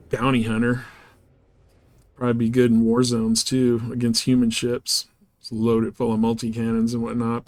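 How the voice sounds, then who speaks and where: A man's voice: medium pitch, no room sound. A man chatting casually and informally as he hosts a live game stream, rambling in slangy language.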